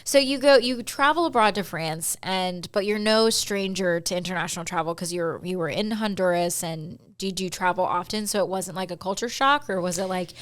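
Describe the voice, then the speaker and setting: A woman's voice: on the low side, normal speed, hardly any pauses. Young woman host, chatty and upbeat, lots of backchannel affirmations. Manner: chatty, enthusiastic, many backchannels. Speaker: podcast host. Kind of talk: casual interview chat.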